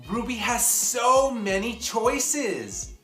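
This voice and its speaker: A woman reading a children's picture book aloud in an expressive, theatrical way, with dramatic pauses and emphasis. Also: fairly high pitch. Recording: roomy echo, music behind.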